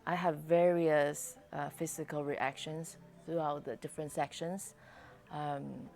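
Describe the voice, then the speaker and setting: Low-voiced, slow-speaking woman giving a testimonial. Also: steady tone, gravelly, a little mellow, soft background music. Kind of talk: personal testimonial.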